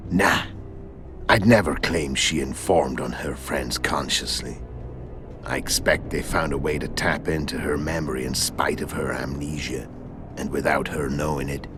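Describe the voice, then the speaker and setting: Game villain: hulking, unrepentant assassin, rumbling bass with heavy gravel, calm and dryly ironic, music underneath. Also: male voice. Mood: matter-of-fact, confiding. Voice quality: very deep, gravelly. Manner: measured, matter-of-fact storytelling with dry irony. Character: hulking, world-weary male assassin, calm, cunning and unrepentant. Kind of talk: video game cutscene acting. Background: background music.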